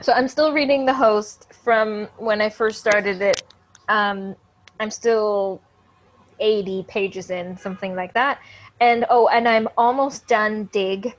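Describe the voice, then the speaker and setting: A woman hosting a casual book chat, speaking in a relaxed, low-key way with trailing fillers. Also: takes it slowly. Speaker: book channel host.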